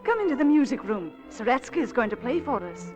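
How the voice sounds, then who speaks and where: Posh society hostess, arch and gushy, old radio drama, high lively voice, music behind. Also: female voice, brisk. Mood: arch, teasing. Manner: arch, effusive. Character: gossipy, gracious society hostess, titled lady. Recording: dull vintage radio recording with music.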